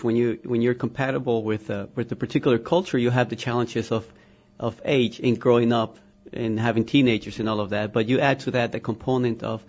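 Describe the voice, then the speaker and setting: A man's voice: mid-range voice, dull audio. A man from Colombia with a Spanish accent answering interview questions about schools, hesitant and full of fillers. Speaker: school diversity office head.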